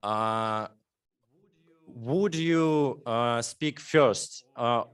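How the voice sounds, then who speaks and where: A man with a Russian accent giving a formal welcome as a conference moderator, halting often with fillers. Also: mid-range voice, smooth.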